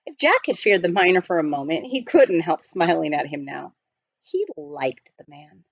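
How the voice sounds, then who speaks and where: A woman reading a children's novel aloud as an expressive storyteller. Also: low-pitched, normal speed, creaky, no room sound, dull audio. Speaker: storybook reader.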